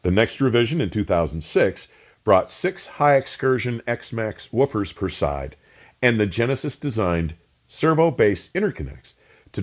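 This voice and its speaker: A man reading scripted, documentary-like narration as a host, measured and emphatic, with precisely pronounced technical terms. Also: lowish voice. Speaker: host and narrator of an audio review channel.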